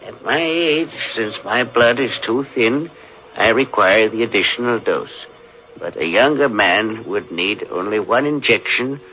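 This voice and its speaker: An actor playing a secretive elderly chemistry professor in 1940s radio acting. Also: male voice, on the low side, expressive, a little slow, pushed and bright, faint music behind. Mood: muttering. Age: older. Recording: dull old radio broadcast.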